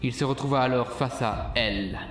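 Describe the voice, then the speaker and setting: A man narrating a dark fantasy audio drama as a solemn storyteller, reading from a script. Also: medium pitch, normal speed, reverberant, soft background music, lightly acted.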